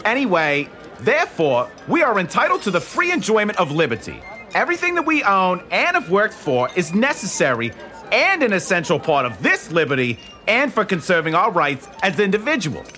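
An actor playing a genial elderly statesman who speaks in a lively, genteel way. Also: high-pitched, moderate pace, faint music behind, heavily acted. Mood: earnest. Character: genial old statesman hosting friends at a tavern.

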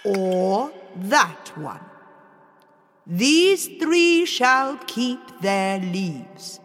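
A woman voicing the Frost King, a stern, ancient male spirit who makes slow, solemn decrees. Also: mid-range voice, sing-song, fairly bright tone, big-room sound, soft background music, full character voice.

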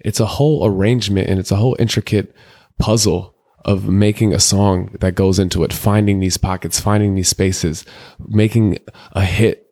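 A young man with a deep voice, talking loosely in slang as an interview guest, rambling through candid stories. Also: fairly even intonation, moderate pace, warm tone. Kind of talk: casual candid interview talk.

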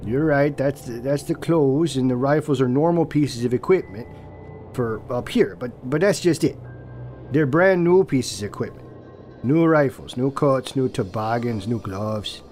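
A man's voice: mid-range voice, measured pace, a little mellow, faint music behind, natural voice. A man voicing a cautious, earnest Canadian Mountie in an audio drama, explaining himself with hesitant stammers. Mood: earnest, insistent.

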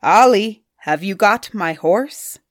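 A woman voicing a sly male troll in a storybook, calling loudly across the water. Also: mid-range voice, expressive, slow, no echo, studio quality. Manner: loud hallooing calls.

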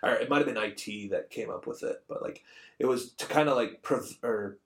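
Man, around thirty, podcast co-host, casual, rambling, medium pitch. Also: a little fast. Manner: rambling, conversational. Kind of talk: casual chat.

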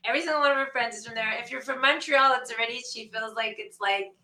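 A chatty woman from Canada talks over a video call, her voice a bit high and bright. She speaks from a bomb shelter, and the room gives it a clear echo. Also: fast. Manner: chatty, laughs often, imitates others' voices. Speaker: author, podcast guest. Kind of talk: casual conversation. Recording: echoey room.

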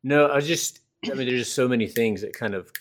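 A man's voice: mid-range voice, fairly quick. A man from North Carolina who hosts a casual interview, musing aloud and asking open questions. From North Carolina, United States. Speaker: podcast host.

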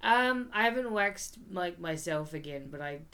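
A woman's voice: on the low side, animated, moderate pace, sharp tone. A woman chatting casually as a podcast guest, giggly and chatty. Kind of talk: casual film commentary chat.

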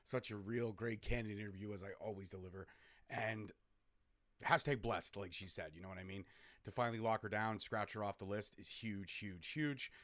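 A man signs off his podcast with energetic, hyped-up enthusiasm. His voice sits on the low side. The sound is dry and close, with no room echo. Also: moderate pace. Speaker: podcast host. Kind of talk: show sign-off.

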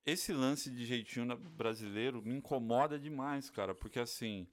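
Podcast host, adult man, São Paulo accent, mid-pitched, joking storyteller. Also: moderate pace. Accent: São Paulo Brazilian Portuguese. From São Paulo, Brazil. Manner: chatty, jokey storyteller. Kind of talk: casual podcast chat.

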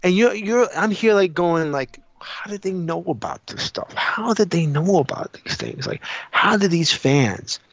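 A man's voice: high-pitched, unhurried, creaky, hardly any pauses, no echo. Dull-sounding New man, chatting animatedly. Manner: animated retelling, repeats himself, acts out quotes. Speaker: podcast co-host. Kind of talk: casual opinionated panel chat. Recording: dull lo-fi audio.

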